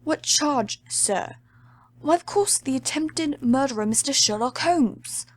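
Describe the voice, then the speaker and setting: Confused cop inspector guy, woman doing him, high and blunt. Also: expressive, takes it slowly. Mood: bewildered. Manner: blunt, bewildered. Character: eager, puzzled male police inspector. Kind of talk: audiobook dramatic reading.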